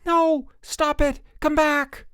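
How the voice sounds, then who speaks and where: A man voicing a hot-tempered young male camp counselor who shouts his orders, in a dry, close recording. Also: high voice, sing-song, moderate pace, no room sound, voice pushed up. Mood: shouting. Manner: shouting, bellowing.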